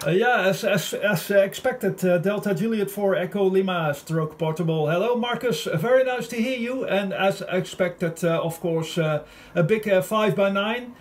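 A man working as an amateur radio operator, chatting casually in a hesitant, halting and friendly way. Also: high-pitched. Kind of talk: casual radio contact chat.

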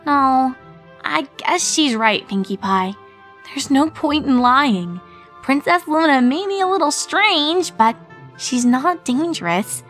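A woman voicing a thoughtful, reasonable young female cartoon pony with an earnest, measured delivery. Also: animated, fairly bright tone, soft background music, full character voice. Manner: earnest, measured, higher-voiced.

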